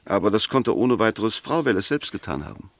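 An actor in a 1960s German radio play, playing an insistent advocate who argues emphatically with rhetorical questions. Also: male voice, lowish voice, expressive, a little fast, vocal fry, dry recording. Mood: insistent, rebutting. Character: insistent male advocate arguing a murder suspect's innocence.

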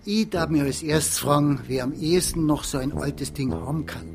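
An actor playing a sceptical, stubborn police officer. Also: male voice, on the high side, moderate pace, background noise, natural voice. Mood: objecting.

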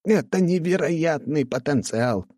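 Man doing a raspy, creaky, excitable artificer. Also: medium pitch, normal speed, dry recording, studio quality, pitched above the performer's own voice. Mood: eager, excited. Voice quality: strained, raspy. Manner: agitated, emphatic. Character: excitable, obsessive male artificer inventor. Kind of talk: audiobook character acting.

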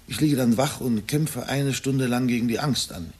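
A man's voice: mid-range voice, normal speed, darkish tone, slightly rough, natural voice. An actor playing an anxious, evasive middle-aged husband and father in a German radio drama, hesitant and confessional. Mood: anxious, confiding.

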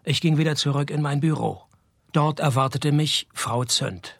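Deadpan private detective, slightly high voice. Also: male voice, normal speed, gravelly, no echo. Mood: deadpan narrating. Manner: dry, deadpan. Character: laconic, cynical male private detective. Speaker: narrator and lead actor. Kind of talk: radio detective play, first-person narration and dialogue.

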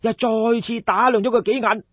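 A man with a Cantonese accent narrating in an animated traditional oral storytelling style. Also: very high voice, normal speed, slightly rough. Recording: dull, roomy audiobook recording.

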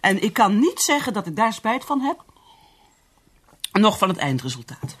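Husky, bitter career woman. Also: mid-range voice, very animated, dry recording. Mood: proud, defiant. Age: middle-aged. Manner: halting, brooding, defiant. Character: bitter, driven career woman with a drinking habit. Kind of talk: radio play acting.

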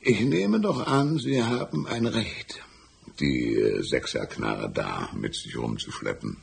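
An actor playing a folksy, shrewd older small-town lawman in a radio drama, patronizing and unhurried, with a gravelly, husky voice. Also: a bass voice, animated, heavily acted. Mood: sly, amused. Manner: drawn-out, patronizing, calls listener "my son".

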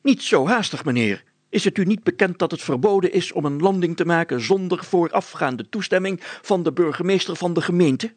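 A man voicing a short, fat, pompous village mayor, gruff, stammering and suspicious, congested with hay fever, for a storybook. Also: high voice, animated, normal speed, few pauses, a little mellow, no room sound, voice pushed up. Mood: stern. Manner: gruff, stammering, self-important.

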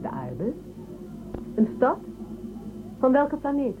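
A smoothly courteous imperial prosecutor in a radio play. Her pitch swings in lively curves as she probes and coaxes with controlled politeness. The recording is tinny and phone-like, with clear noise. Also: slow, full character voice. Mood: probing, coaxing. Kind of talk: radio drama acting.

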